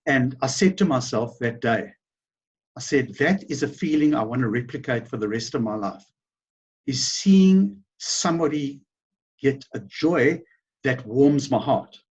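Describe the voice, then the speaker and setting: A man's voice: medium pitch, expressive, unhurried, a little mellow, breathy edge. A middle-aged man with a South African accent, telling his story as a guest in an interview, rambling with many fillers and restarts. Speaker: business founder, guest.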